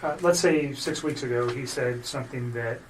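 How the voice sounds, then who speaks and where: A man questioning someone in a phone interview as an investigator, choosing his words in a careful, halting way. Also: mid-range voice, a little hiss or hum. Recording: distant phone recording under loud music.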